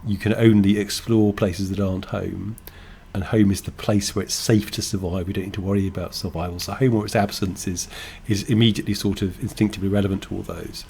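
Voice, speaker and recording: An English man co-hosting a podcast, talking thoughtfully in halting, restarting sentences. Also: deep voice, steady tone, gravelly, darkish tone, slightly rough. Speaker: podcast co-host, game designer. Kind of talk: casual chat.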